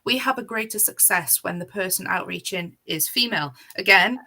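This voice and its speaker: A woman co-hosts a casual discussion, nodding along with short affirmations before picking up a thread herself. Her tone is bright and sharp, roughened by vocal fry at the ends of phrases, and her pitch sits in the middle. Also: normal speed. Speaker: co-host.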